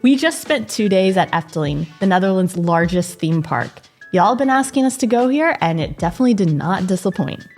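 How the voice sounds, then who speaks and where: A woman's voice: medium pitch, expressive, a little fast, few pauses, a little mellow, soft background music. A woman travel vlogger narrating and chatting in an informal, conversational way. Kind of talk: casual vlog narration and chat.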